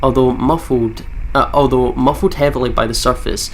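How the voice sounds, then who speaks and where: A man's voice: medium pitch, fairly even intonation, moderate pace, a little hiss or hum. A man with a Scottish accent reading visual novel narration aloud as a let's player, with casual reactions.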